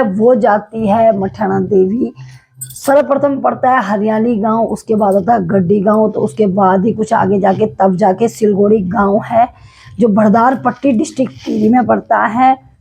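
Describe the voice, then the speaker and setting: A woman from Uttarakhand with a slightly hoarse throat, telling a devotional temple legend to camera in a casual, hesitant way. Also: a little high, a little mellow, poor audio. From Uttarakhand, India. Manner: devout, explanatory, with frequent hesitations.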